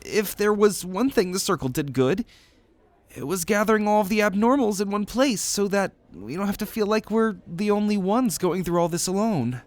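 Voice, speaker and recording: Man voicing an earnest, higher-pitched young demigod student. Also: moderate pace, no room sound, natural voice. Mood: reassuring. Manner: hesitant, earnest, reassuring. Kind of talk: ASMR roleplay audio drama.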